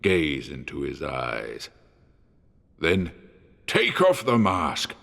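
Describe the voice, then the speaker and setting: Slow, creaking, sinister supernatural stalker. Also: male voice, a bass voice, wildly swooping pitch, a bit bright, pitched below the performer's own voice, exaggerated voice. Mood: taunting, commanding. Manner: slow, sinister, taunting, drawn-out. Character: menacing, taunting male supernatural stalker speaking over radio. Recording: long cavernous reverb.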